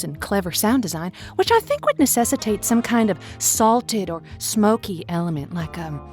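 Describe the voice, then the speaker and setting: A woman's voice: mid-range voice, natural voice. An actress playing a quirky, chatty woman who hosts a lonely-hearts radio show, wordy and playfully self-deprecating. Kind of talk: scripted fiction podcast, conversational radio-host patter.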